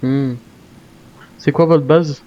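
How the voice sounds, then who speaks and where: A man's voice: a little high, fairly even intonation, slow, muffled recording. A man speaking in improvised game roleplay, commanding and suspicious in manner. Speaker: gamer in a zombie survival roleplay.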